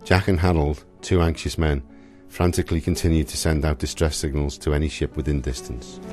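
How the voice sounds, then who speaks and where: A man's voice: a bass voice, fairly even intonation, fairly quick, a little mellow, faint music behind. A man giving dramatic scripted narration for a historical radio play.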